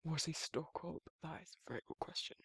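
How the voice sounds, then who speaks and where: A man acting as a down-to-earth werewolf boyfriend in an ASMR audio roleplay, protective and fond. His voice is slightly high for a man but very husky and heavily creaky, murmured casually and intimately. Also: a little fast, no echo, heavily acted. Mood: teasing, affectionate. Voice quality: husky, breathy, gravelly, often dropping to a whisper. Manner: casual, colloquial, soft-spoken intimate murmur with soft chuckles.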